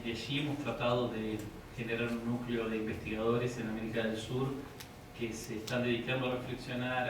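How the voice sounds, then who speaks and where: An Argentine criminology professor, a Spanish-speaking man, giving an academic lecture in a halting way, with restarts. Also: mid-range voice. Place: university auditorium. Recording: echoey lecture hall from far off, noisy.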